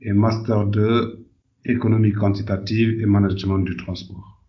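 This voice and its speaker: A man's voice: lowish voice, flat delivery, moderate pace, lo-fi sound. A man from Senegal answering conversationally as an interview guest, often repeating words as he searches for phrasing. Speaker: podcast guest, entrepreneur.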